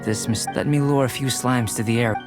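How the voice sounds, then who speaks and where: A man voicing a calm, curious young male alchemist in a video game, with a measured, detached manner of musing aloud. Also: medium pitch, natural voice. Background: game music.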